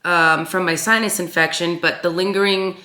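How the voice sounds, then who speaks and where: A woman vlogger with a raspy voice left over from a sinus infection, chatting casually to her viewers with lots of ums and repeats. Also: on the low side, steady tone, moderate pace, bright, forceful tone, roomy sound. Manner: rambling, many ums and uhs, repeats herself. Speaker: craft channel host. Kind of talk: casual chat to camera.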